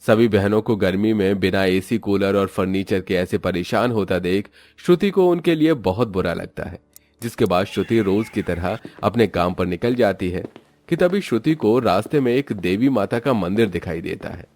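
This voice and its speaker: A man's voice: on the low side, moderate pace, a little mellow, dry recording. A man narrating a scripted moral story as its storyteller.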